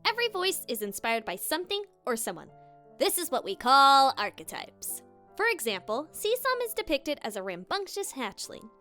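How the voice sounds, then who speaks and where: High, very animated woman narrator. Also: moderate pace, faint music behind. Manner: animated, theatrical emphasis. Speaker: voice actor and video host. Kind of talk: scripted, playful tutorial narration.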